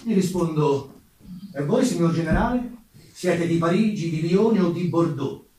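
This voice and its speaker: A man's voice: on the high side, lively intonation, unhurried, warm tone. A man playing a proud, defiant Lombard army major in an emphatic theatrical monologue. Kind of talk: theatrical first-person monologue. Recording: roomy stage sound, distant mic, music.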